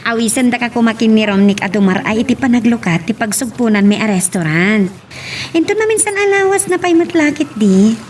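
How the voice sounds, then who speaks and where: A woman's voice: a little high, fairly quick, lightly acted. A woman with an Ilocano Filipino accent playing a giggly, talkative young woman in love in a radio drama, on a noisy track. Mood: chatty, teasing.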